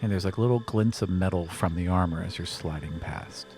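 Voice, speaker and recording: A man narrating as game master in a calm, descriptive way. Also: low voice, a little fast, soft background music.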